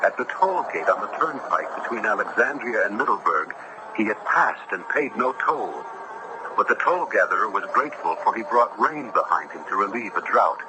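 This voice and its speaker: An actor playing an earnest, compassionate young judge who tells a ghostly tale in formal, solemn radio drama style. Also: male voice, lowish voice, sing-song, moderate pace. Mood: grave, suspenseful. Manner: formal, literary, solemn storytelling. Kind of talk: 1970s radio drama narration and acting. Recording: noisy vintage radio broadcast recording.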